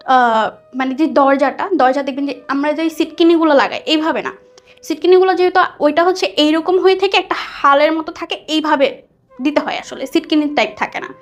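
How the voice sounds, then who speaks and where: A young woman with a Bangladeshi accent telling a personal story conversationally as a guest, acting out what people said. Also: high-pitched. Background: background music.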